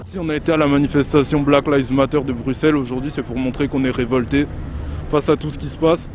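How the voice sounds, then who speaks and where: A man protester explaining his reasons in a steady, fluent way. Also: medium pitch, fast. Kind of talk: interview answer. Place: outdoors in the street. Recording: street noise.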